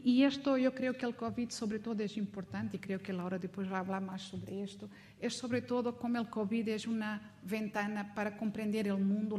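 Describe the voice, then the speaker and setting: A woman academic from Mozambique gives an informal lecture in a language that is not her first. She has a Mozambican Portuguese accent and a mid-pitched voice. Her manner is reflective and explanatory, with non-native phrasing. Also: warm tone. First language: not Spanish, learned it as an adult; also speaks Portuguese. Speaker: academic speaker.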